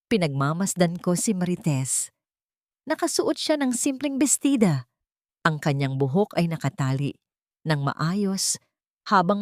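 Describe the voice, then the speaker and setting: A woman reading a scripted dramatic story in a measured, sentimental storytelling manner. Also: on the low side, no echo, studio quality. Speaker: storyteller narrator.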